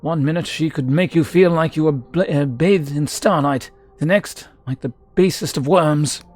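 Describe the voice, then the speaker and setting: Man voicing a high-pitched, florid mercenary storyteller. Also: moderate pace, darkish tone, close to an everyday voice. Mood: nostalgic, reflective. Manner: florid, formal, theatrical storytelling. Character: proud, theatrical male mercenary archaeologist reminiscing wistfully. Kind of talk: character voice in a fantasy game read-through.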